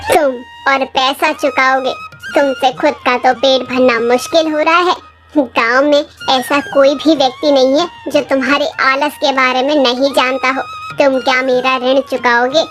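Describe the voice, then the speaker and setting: A stern, scornful rich moneylender from a children's moral tale, acted out by the narrator. He scolds dismissively in an oddly high, youthful voice, with soft music behind. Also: steady tone, a little slow. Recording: music under the voice.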